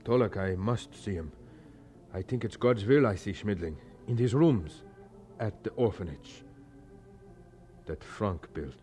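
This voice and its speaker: Slow, earnest young man played by an actor. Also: lowish voice, warm tone, soft background music, natural voice. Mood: troubled, hesitant. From Germany. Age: young adult. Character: earnest young German missionary, determined and troubled. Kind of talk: audio drama acting.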